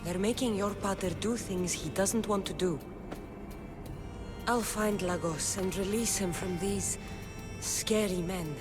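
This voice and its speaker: A blunt, resolute warrior woman from an ancient Greek video game, played by an actress. Her delivery is firm and defiant at a middle pitch, with soundtrack music clearly behind her. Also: measured pace, lightly acted. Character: determined, defiant young female mercenary of ancient Greece. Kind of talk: dramatic video game dialogue.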